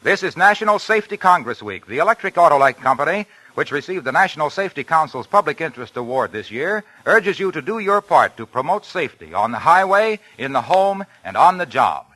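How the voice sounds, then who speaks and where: A man's voice: a little high, sing-song, brisk, few pauses, bright, forceful tone, poor audio. An American man announcing in scripted 1940s radio style, with a polished, emphatic sponsor's delivery. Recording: vintage 1940s radio broadcast.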